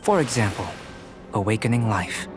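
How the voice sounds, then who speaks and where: A man playing a calm, aloof young alchemist in a video game, speaking in a measured, formal, detached way. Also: lowish voice, lightly acted. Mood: thoughtful, intrigued. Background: game music.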